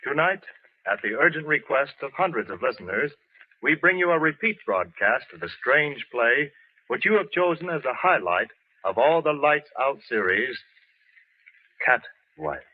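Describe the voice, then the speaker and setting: Formal announcer on tinny old radio. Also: male voice, medium pitch, very animated. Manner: formal, solemn, deliberate. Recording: tinny, band-limited 1930s radio broadcast.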